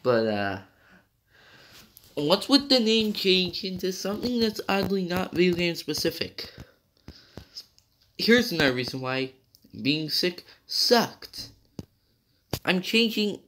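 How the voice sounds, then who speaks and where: A man's voice: fairly high pitch, big pitch swings, slow, pauses often, a bit bright, a little hiss or hum. A young man reviewing a video game in a rambling, casual way, with many fillers and self-corrections, still slightly nasal from being sick.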